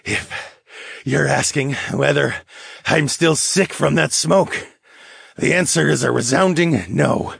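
A man voicing a formal, haughty lynx demi-human in a fantasy audiobook, with wordy, measured phrasing. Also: fairly high pitch, creaky, a bit bright, slightly rough, no echo, studio quality, voice pushed up, exaggerated voice. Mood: haughty, muttering.

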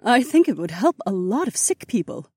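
A woman's voice: on the high side, sing-song, moderate pace, darkish tone, slightly rough, dry recording, studio quality, heavily acted. A woman voicing a helpful, capable young princess mage in fantasy audiobook dialogue. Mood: impressed.

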